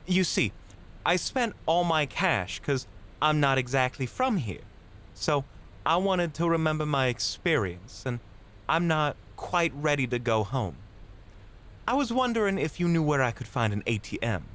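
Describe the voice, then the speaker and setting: A man's voice: a little high, big pitch swings, unhurried, fairly bright tone. A man playing a young mugger in an audio drama, cocky, mock-polite and menacing. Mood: fake friendly. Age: young adult.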